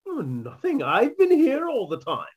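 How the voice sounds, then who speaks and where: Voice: high voice, huge pitch swings, a little slow, husky, full character voice. An actor playing a defensive, melodramatic murder suspect, theatrical and indignant, in an old-time radio mystery parody. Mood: evasive, dismissive. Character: defensive, melodramatic party guest, secretly a murderer.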